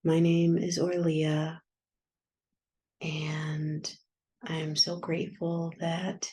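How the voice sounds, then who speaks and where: A woman guiding a yoga nidra meditation in a soft, soothing, unhurried manner with long pauses. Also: sits low, poor audio. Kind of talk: guided meditation.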